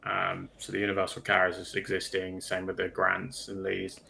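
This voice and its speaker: A man's voice: low-pitched, monotone, moderate pace, gravelly, bright, forceful tone, a little hiss or hum. A man talking casually in a livestream panel discussion, going into detail in a pedantic way. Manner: detailed, self-confessedly pedantic.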